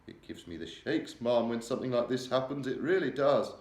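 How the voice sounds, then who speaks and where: A man's voice: medium pitch, expressive, brisk, a little mellow, pitched above the performer's own voice, close to an everyday voice. A man voicing a nervous old maid. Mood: nervous, shaken. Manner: deferential, quivering. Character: oldish female maid, deferential and nervous. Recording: roomy echo.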